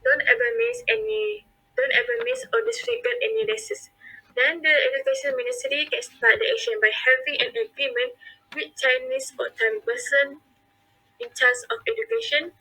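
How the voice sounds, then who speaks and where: A woman's voice: sits high, very animated, moderate pace, sharp tone, low-quality recording. A young Malaysian woman presenting prepared points as a forum panelist, with hesitant fillers and restarts.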